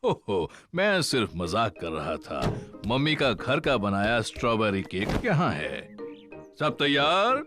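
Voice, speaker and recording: A man's voice: mid-range voice, wildly swooping pitch, unhurried, music in the background. A man voicing a jolly, teasing father pig in a children's cartoon dub.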